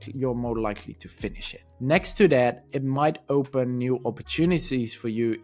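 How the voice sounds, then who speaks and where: A man's voice: mid-range voice, a little mellow. A young man in his twenties with a Dutch accent, a study coach giving earnest, encouraging advice straight to camera. Dutch is his first language. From Netherlands.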